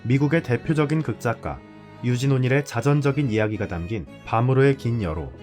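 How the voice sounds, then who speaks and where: A man's voice: mid-range voice, brisk, darkish tone, faint music behind. A man hosting and reading a scripted narration. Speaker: host.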